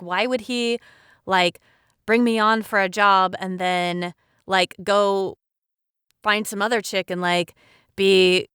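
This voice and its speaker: A woman's voice: medium pitch, fairly bright tone, clean tone, no room sound, clean studio sound. A woman, a voice actor, talking casually on a cast panel. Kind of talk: casual chat.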